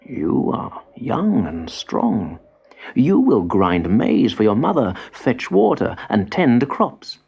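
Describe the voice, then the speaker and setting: A man voicing a calm, wise old grandfather in a storybook, solemn, slow and measured. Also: medium pitch, gravelly, breathy edge, dull audio, heavily acted. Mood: calm, firm.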